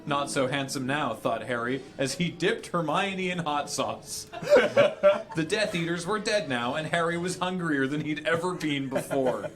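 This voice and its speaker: A man's voice: fairly high pitch, animated, measured pace, over music. A man reading an absurd story aloud theatrically for comedy, prone to breaking up as he goes. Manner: theatrical, often breaking into laughter. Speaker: reader on a charity stream.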